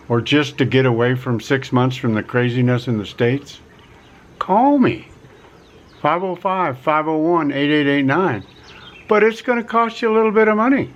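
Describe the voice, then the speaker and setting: A middle-aged man talks straight to the camera in a folksy, repetitive way, leaning hard on key words. His voice sits at an ordinary middle pitch. The recording is a little dull and lo-fi. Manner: folksy, repeats himself, emphatic rises on key words. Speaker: vlogger offering relocation advice. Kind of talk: casual to-camera vlog pitch. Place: quiet rural home.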